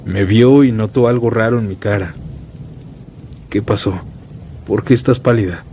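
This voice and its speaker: A man's voice: fairly low pitch, moderate pace. A man narrating scripted first-person horror stories in a solemn, dramatic way, as a storyteller. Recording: steady rain and thunder noise bed. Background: rainstorm with thunder.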